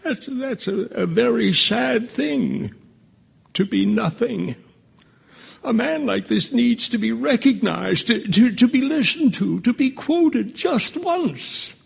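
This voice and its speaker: An actor playing a gentle old man on a jury in an audio drama, speaking haltingly with stammers and a thin, husky voice. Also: extremely high pitch, lively intonation, heavily acted. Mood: earnest. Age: older. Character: frail, gentle old male juror, quietly earnest. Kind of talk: stage-style audio drama acting.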